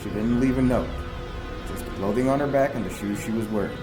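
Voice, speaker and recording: A man's voice: on the low side, a little fast, music in the background, natural voice, poor audio. An actor playing a domineering small-town police investigator in an audio drama, a man questioning in a blunt, folksy, probing way. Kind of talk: fiction podcast audio drama dialogue.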